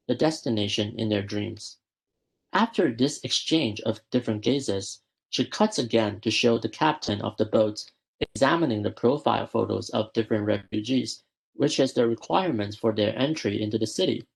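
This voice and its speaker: Young man, student presenter, raspy voice, measured academic reading, self-corrections. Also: on the low side. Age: young adult. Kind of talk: reading a prepared academic paper.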